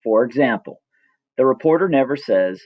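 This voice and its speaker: A middle-aged man reading his own personal story as scripted narration, reflective, measured and gently wry. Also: mid-range voice, expressive, narrow, tinny audio.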